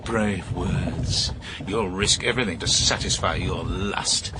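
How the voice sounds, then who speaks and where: Low-voiced, menacing jealous nobleman. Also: slow, very noisy. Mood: menacing jealous anger. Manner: sneering, accusatory, theatrical. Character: jealous, menacing aristocrat husband, contemptuous and cruel. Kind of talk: period radio drama acting.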